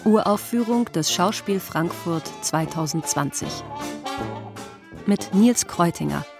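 A woman's voice: lowish voice, lively intonation, slow, creaky. A woman reading scripted credits as the announcer of a radio play. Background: brass music.

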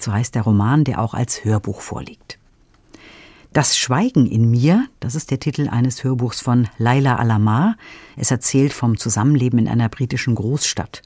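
A man hosts a radio programme with scripted introductions and questions. His mid-pitched voice is mellow and soft-edged. He sounds orderly and informative in a dry studio. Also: no room sound. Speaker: radio host.

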